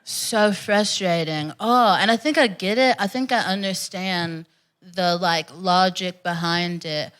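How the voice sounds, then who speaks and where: A woman's voice: lowish voice, measured pace, fairly bright tone, clean tone. Frank, chatty woman comedy host. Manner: frank, crude and chatty, hyping the crowd. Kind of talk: stand-up comedy hosting. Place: comedy club stage before a live audience.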